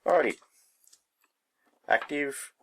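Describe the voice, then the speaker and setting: A man's voice: fairly high pitch, slow, a bit bright. A man giving a casual hands-on demonstration, thinking aloud in a laid-back, unscripted way as he works. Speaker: hobbyist presenter.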